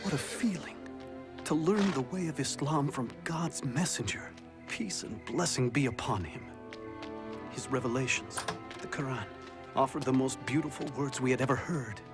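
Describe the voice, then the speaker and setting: A devout young convert tells his story in an animated film, voiced by a man. His medium-pitched delivery is earnest and reverent, with clear music underneath. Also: normal speed, lightly acted. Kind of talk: scripted dramatic narration in animated film. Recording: loud music behind the voice.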